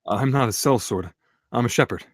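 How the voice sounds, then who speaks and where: A man playing an earnest, hesitant shepherd turned soldier at a script table read, halting and plain-spoken. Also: mid-range voice, dry recording, clean studio sound, lightly acted.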